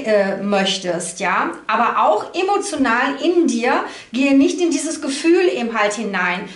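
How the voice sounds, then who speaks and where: Female card reader, high voice, unscripted and halting, speaking directly to viewer in a roomy, echoing space. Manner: halting, thinking aloud, frequent tag questions. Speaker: tarot card reader, host. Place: indoor room at a table. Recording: roomy echo.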